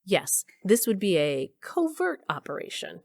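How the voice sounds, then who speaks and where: A woman's voice: medium pitch, very animated, unhurried, creaky, no echo, clean studio sound, full character voice. An actress playing a wry, daring woman who narrates her own covert adventure in a conversational audio drama style. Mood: conspiratorial. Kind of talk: audio drama acting with first-person narration.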